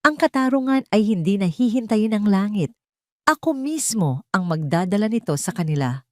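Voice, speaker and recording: A woman's voice: mid-range voice, lively intonation, normal speed, no echo, voice pushed up, natural voice. Woman playing a wronged tycoon man, Filipino accent, solemn, determined, set on justice, audio drama, dry sound. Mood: solemn resolve.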